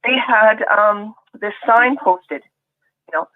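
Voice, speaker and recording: A talkative woman with a Canadian accent calls in, bubbling with enthusiasm and quick to laugh. Her voice sits at a middling pitch and comes through a narrow, tinny phone line. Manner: chatty, enthusiastic, laughs often. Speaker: caller. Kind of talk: casual call-in chat.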